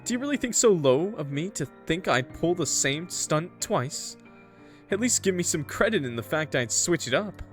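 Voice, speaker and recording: Man doing a cheeky, melodramatic prankster, pitched up. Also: very animated, moderate pace, faint music behind. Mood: mock wounded. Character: cheeky male prankster, playfully feigning hurt. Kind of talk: visual novel character voice.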